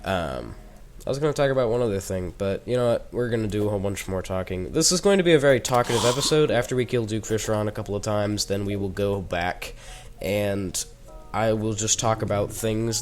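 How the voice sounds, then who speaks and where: A man's voice: fairly low pitch, fairly quick. A teenage boy with a head cold, chatting casually and rambling with joking asides while he plays and commentates a video game. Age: teens. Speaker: gaming YouTuber.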